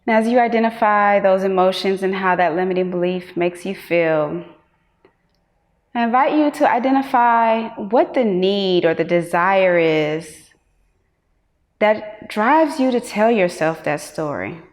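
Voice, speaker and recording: Unhurried woman meditation guide in reverberant sound. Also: medium pitch. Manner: measured instructions with long pauses. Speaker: meditation teacher. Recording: long hall-like reverb.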